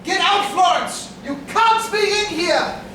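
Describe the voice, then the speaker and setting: A blustering upper-class gentleman of stage whodunits, played by an actor, barking emphatic exclamations and orders in a high voice. The sound is very echoey and distant, with clear background noise. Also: unhurried, exaggerated voice. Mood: commanding, urgent. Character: blustering, alarmed upper-class gentleman, best friend of victim. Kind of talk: theatrical staged whodunit acting. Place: stage in a hall, recorded from afar. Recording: echoey hall, distant mic, noisy.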